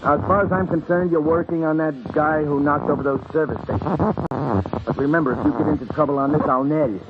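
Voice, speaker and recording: Actor as a blunt, higher-voiced radio police boss. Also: male voice, steady tone, fast talker, lightly acted. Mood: firm, placating. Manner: matter-of-fact, slangy. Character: blunt, pragmatic police superior, friendly but firm. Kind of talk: mid-century radio crime drama acting. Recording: muffled, hissy old radio recording.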